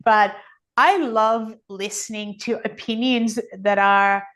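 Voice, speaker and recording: A woman with a fairly high voice talks as a guest in a conversational interview. She explains things at length and leans on key phrases, earnest and emphatic. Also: fairly even intonation, takes it slowly, fairly bright tone. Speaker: podcast guest, author and energy healer.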